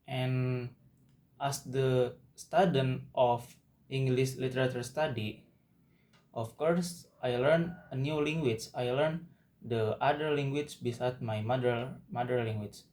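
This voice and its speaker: Young man, Indonesian accent, very slow delivery, hesitant, frequent restarts, student talk. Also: medium pitch, steady tone, darkish tone. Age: young adult. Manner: slow, halting, with frequent restarts and repeated words. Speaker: university student. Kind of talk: spoken class assignment monologue.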